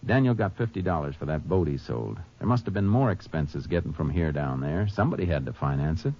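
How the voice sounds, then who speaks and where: An actor playing a calm Texas Ranger who narrates a 1950s radio drama, talking in a steady, matter-of-fact way. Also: male voice, a bass voice, brisk. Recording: dull lo-fi old radio recording with faint hiss.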